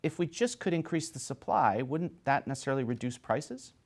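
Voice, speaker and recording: A man's voice: medium pitch, big pitch swings, fairly quick. Man hosting a talk show. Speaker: host and moderator of a TV talk show. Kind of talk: panel discussion hosting, explanatory.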